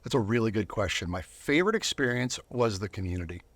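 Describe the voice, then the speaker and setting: A man's voice: on the low side, expressive, quick pace, fairly bright tone, no room sound. A man speaking as a guest in a casual studio interview, earnest and reflective, sometimes repeating words as he goes. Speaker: interview guest, volunteer Bible reader.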